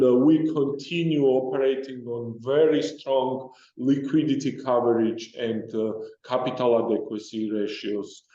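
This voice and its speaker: Guy doing a results call, mellow voice, stop-start delivery, muffled and echoey. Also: mid-range voice. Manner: halting, hesitant with frequent broken-off phrases. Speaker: company executive presenter. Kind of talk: financial results presentation. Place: conference call. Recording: dull, roomy conference call audio.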